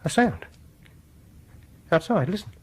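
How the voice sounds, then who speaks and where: An actor in a British radio horror drama playing a fussy, awkward, quietly intense music lover, with a British accent and a prim, hesitant, stammering way of talking. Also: male voice, high-pitched, lively intonation, measured pace, husky, exaggerated voice. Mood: uneasy, alert.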